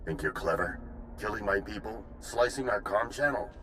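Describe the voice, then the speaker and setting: A man's voice: lowish voice, animated, moderate pace, a bit bright, a little hiss or hum. A man voicing an arrogant, menacing mercenary commander in a video game, with a taunting, threatening manner. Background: game music.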